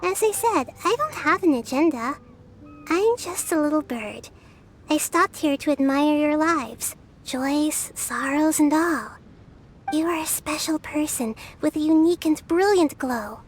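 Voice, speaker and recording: Enigmatic bird spirit for a video game: serene, soft-spoken, gentle, faintly wistful. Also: female voice, high-pitched, expressive, unhurried, faint music behind. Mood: serene, admiring. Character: mysterious gentle female bird spirit watching from afar.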